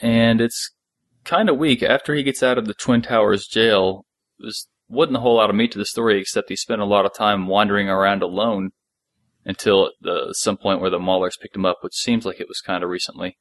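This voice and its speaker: A man co-hosting a fan podcast, recapping an episode in casual, loose and halting talk. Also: on the low side, steady tone, fairly bright tone, no echo, clean studio sound.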